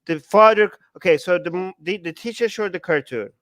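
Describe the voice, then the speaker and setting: A man's voice: high-pitched, brisk, fairly bright tone, no room sound. A man co-hosting a political talk show, bluntly arguing his view and mimicking the people he disagrees with. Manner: blunt, argumentative, quotes others in mocking voices. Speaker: co-host.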